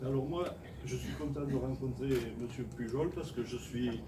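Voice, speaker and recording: An older man from France, an award-winning craftsman speaking on stage in a large hall, plain and modest, halting as he searches for words. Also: medium pitch, steady tone, mellow. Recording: echoey hall, far from mic, background noise.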